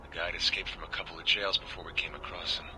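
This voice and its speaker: Man acting as grave military informant, low voice, guarded, confiding, video game audio log, clear background noise. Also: little pitch movement, quick pace, lightly acted. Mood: grave, reflective. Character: grave, secretive male military informant, adult. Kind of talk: scripted game dialogue, audio log narration.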